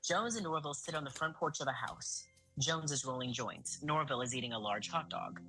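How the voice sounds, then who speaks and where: A woman's voice: a bass voice, brisk, bright, forceful tone, slightly rough, faint music behind, heavily acted, rough recording. A woman reading scripted narration for an audio drama.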